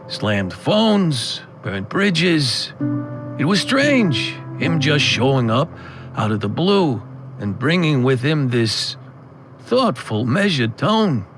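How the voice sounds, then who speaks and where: A man narrating a scripted audio drama in the first person with wistful, expressive storytelling. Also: mid-range voice.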